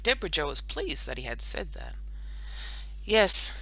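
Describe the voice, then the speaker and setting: A woman narrating a children's audiobook expressively, with dramatic pauses and drawn-out phrases. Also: low voice, very animated, creaky, sharp tone, low-quality recording.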